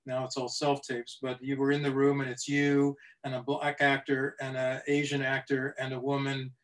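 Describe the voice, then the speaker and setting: A middle-aged man actor giving a thoughtful answer on a video-call panel, measured and hesitant with many restarts. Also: medium pitch, monotone, rough recording.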